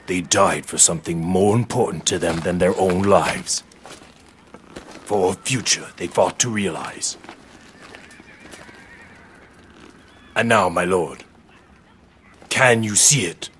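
An actor playing a loyal, frank samurai retainer in an English game dub, formal, measured and earnest. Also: male voice, sits low, a bit bright, slight background noise. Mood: solemn encouragement. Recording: dramatic music behind the voice.

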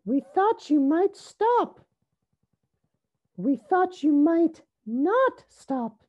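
A woman's voice: extremely high pitch, big pitch swings, drawn-out words, a little mellow, muffled recording, pitched above the performer's own voice, heavily acted. A woman voicing a young, eager, easily startled little rabbit sister in a storybook, crying out. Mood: worried.

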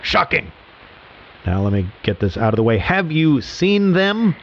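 Sardonic late-night radio host, expressive. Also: male voice, fairly high pitch, a little slow, muffled recording, heavily acted. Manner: wry, sardonic, theatrical. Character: world-weary, sarcastic male late-night radio host.

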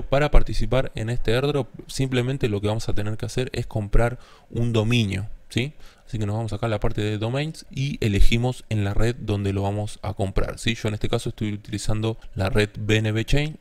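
A man's voice: fairly low pitch, vocal fry, a little mellow. A man narrating a step-by-step screen tutorial as its host, often checking in with a short questioning tag.

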